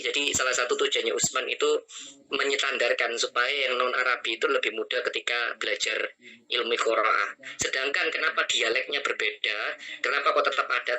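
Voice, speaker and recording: Guy in an online class, super bright voice, lots of uh and restarts. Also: mid-range voice, poor audio. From Madura. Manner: hesitant, many fillers and restarts. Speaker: student. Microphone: video call.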